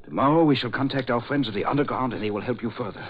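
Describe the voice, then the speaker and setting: An actor playing a calm, brave husband and father in a 1940s radio drama. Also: medium pitch, racing, slightly rough, lightly acted. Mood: firm, concerned. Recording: muffled, noisy old radio recording.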